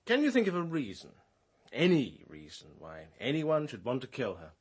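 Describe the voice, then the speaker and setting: An actor playing a thoughtful young British police sergeant in a radio drama, polite and measured as he probes. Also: mid-range voice, huge pitch swings, breathy edge. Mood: questioning. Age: young adult. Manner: measured, deferential, probing.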